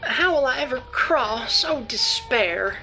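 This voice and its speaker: Slow, timid, fretful cartoon sidekick. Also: female voice, high voice, lively intonation, heavily acted, poor audio. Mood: woeful. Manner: plaintive, theatrically woeful. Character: timid, fretful small cartoon friend of an angel. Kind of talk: children's cartoon dialogue. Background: prominent background music.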